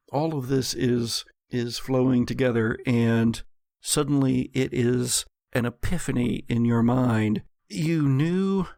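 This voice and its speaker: Slow, measured man narrating gothic horror. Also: mid-range voice, vocal fry, no room sound, clean studio sound. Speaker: game master.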